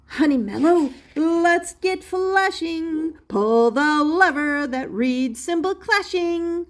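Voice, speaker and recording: Bossy storybook music professor performed by a woman: high voice, drawn-out rhyming commands, theatrical singsong delivery. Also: lively intonation, heavily acted.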